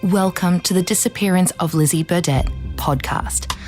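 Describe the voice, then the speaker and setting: A woman acts out an earnest young journalist hosting a true-crime podcast. Her low voice is solemn and measured, slightly self-important. Music plays clearly underneath. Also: darkish tone, close to an everyday voice. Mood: solemn, dramatic. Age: young adult. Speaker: podcast host, investigative journalist. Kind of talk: scripted true-crime narration.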